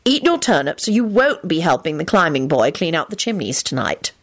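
A woman's voice: low-pitched, sing-song, a little fast. A woman voicing a stern, proper woman who scolds a child in an audiobook.

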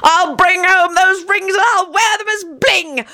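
A woman's voice: sits high, wildly swooping pitch, moderate pace, fairly bright tone, voice pushed up, full character voice. A woman voicing a boisterous old grandfather who shrieks and whoops with glee in a storybook reading. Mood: manic glee.

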